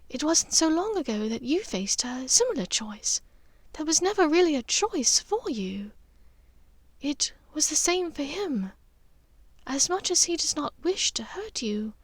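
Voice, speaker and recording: A woman voicing a composed, cunning middle-aged aristocratic mother in an audiobook, speaking in a measured, hushed, deliberate way. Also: high voice, sing-song, no echo, pitched above the performer's own voice, exaggerated voice. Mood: hushed, grave.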